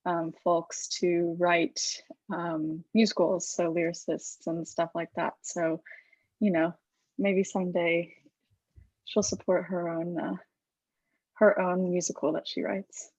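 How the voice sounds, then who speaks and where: A woman's voice: lowish voice, flat delivery, slow pace, darkish tone, dry recording. A woman informally moderating a Q&A, a little hesitant as she searches for words. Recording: dull lo-fi audio.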